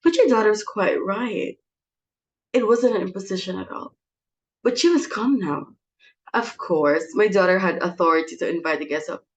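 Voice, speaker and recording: A woman's voice: mid-range voice, expressive, normal speed, natural voice. A woman acting a domineering, melodramatic middle-aged society hostess in a dramatic play reading, theatrical and exasperated. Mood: pleading politeness.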